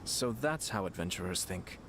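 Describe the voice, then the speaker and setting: Low, composed nobleman. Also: animated, fairly bright tone. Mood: calm, deliberate. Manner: formal, measured, eloquent. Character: refined, composed young nobleman and shrewd commissioner. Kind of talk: video game dialogue. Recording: music behind the voice.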